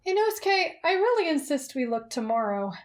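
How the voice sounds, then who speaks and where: A woman voicing a gentle, tactful young companion in an anime fan roleplay, polite and coaxing. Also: sits high, very animated, normal speed. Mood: reluctant, reasoning.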